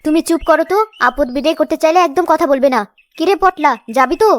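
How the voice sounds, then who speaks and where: A woman's voice: sits high, fast, lightly acted. A woman voicing a scheming, irritable village sister-in-law in a cartoon, bossy and slyly coaxing. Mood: sharp, scheming. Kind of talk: cartoon story voice acting.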